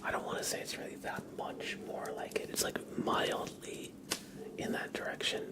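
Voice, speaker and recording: A young man whispering casual, rambling chat as the host of an ASMR livestream, often hesitating. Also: normal speed.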